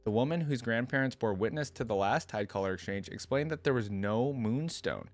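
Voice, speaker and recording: A man's voice: medium pitch, brisk. A man hosting a reaction video, switching between casual, think-aloud commentary and reading text aloud.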